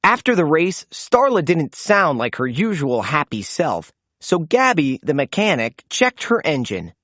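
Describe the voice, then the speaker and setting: A woman reading a children's storybook aloud as narrator, in an expressive read-aloud style. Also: deep voice, unhurried, dry recording, clean studio sound. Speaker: storybook reader.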